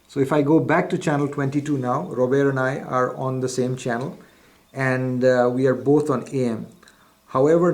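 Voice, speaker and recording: A man's voice: mid-range voice, a little mellow. A man presenting a step-by-step instructional demonstration in a methodical, formal, explanatory way. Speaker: product demonstrator.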